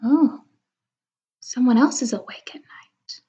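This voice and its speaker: High-voiced woman reading slowly to children. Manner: expressive, wondering, talking to young children. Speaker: read-aloud storyteller. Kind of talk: children's picture book read-aloud with chatty asides. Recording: dull lo-fi recording.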